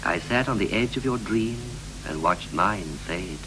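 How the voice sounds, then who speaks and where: An actor playing a restless, wistful husband in a 1960s radio play, speaking in clipped, rhythmic, theatrical phrases. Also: male voice, deep voice, expressive, unhurried, a bit bright, soft background music, poor audio. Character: John, restless husband wistfully recalling youth and marriage. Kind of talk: 1960s BBC radio play, poetic stylised dialogue. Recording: 1960s radio recording with hum, hiss.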